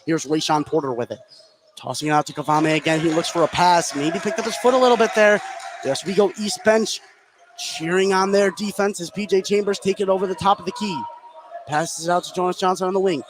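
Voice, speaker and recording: A man calling live radio basketball play-by-play from courtside in a high school gym. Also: steady tone, fairly quick. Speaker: play-by-play sports commentator. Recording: clearly noisy gym ambience. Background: clear gym noise.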